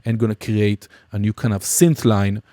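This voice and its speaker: A man giving an informal, explanatory software walkthrough as a music production instructor. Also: lowish voice, steady tone, normal speed. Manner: casual, explanatory. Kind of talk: screen-recorded software tutorial, informal walkthrough.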